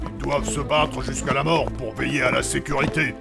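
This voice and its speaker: A brash male fantasy adventurer, played by an actor, with a creaky, gravelly edge. He is sardonic and argumentative, always up for a fight, in a comic audio drama with clear music behind him. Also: medium pitch, steady tone, brisk. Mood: defiant. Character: Kevin, brash, mocking, trigger-happy male adventurer. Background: background music.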